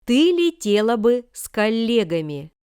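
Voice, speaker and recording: A woman reading example sentences for language learners with careful, deliberate enunciation. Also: fairly high pitch, sing-song, darkish tone, clear, resonant tone, no room sound, clean studio sound. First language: Russian. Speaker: language lesson reader.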